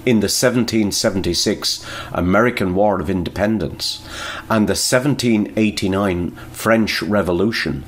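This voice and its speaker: Low-voiced man narrating history. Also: moderate pace.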